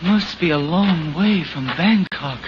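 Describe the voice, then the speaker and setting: An actor playing a dazed, fearful Indian prince in an old-time radio drama, with a theatrical, trembling manner. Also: male voice, fairly high pitch, lively intonation, takes it slowly. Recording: dull, noisy old radio recording.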